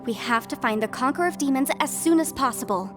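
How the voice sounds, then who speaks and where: Composed young female investigator, higher voice, articulate, polite, game dialogue, soft music bed. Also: expressive. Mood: thoughtful. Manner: measured, articulate, thoughtful. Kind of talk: video game voice acting.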